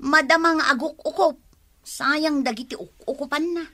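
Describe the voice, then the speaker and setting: High, piercing Ilocano village woman, acted out. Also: moderate pace, sharp tone. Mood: lamenting. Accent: Ilocano, northern Philippines. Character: nagging, complaining village woman. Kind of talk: comedy radio drama acting.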